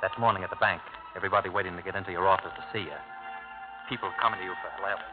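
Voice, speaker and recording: An actor playing a bitter, brooding Italian-American ex-convict in a clipped, hard-boiled 1950s radio drama style. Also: male voice, deep voice, fairly even intonation, very fast, lightly acted. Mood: brooding, reflective. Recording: old tinny radio broadcast, music behind.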